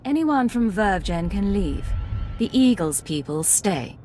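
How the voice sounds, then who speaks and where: Cool, wry secret agent. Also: female voice, mid-range voice, very animated, a little slow, mellow, soft background music. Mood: wry, firm. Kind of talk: video game voice acting.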